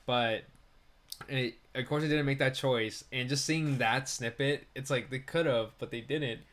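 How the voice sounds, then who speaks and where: A man's voice: medium pitch, a little slow, a bit bright. A man co-hosting a casual film review podcast, talking in an informal, slangy way. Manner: informal slangy talk, peppered with "like" and "dude". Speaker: podcast co-host reviewing films.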